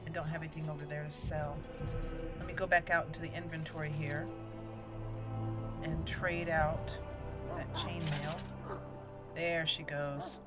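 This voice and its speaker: A woman hosts her gaming channel, chatting through her play session and thinking aloud. Her talk is casual, with easy laughs. Game music plays clearly behind her voice. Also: sits low, fairly even intonation, unhurried, pauses often. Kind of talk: casual let's-play commentary. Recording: voice-over over loud game music.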